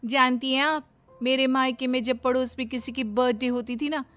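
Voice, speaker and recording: Boastful young daughter-in-law, played by a woman: high-voiced, airy tall-tale teller for cartoon family story, light music underneath. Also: steady tone, moderate pace, a bit bright. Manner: bragging, dismissive, exaggerating. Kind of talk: Hindi cartoon moral story acting. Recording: music behind the voice.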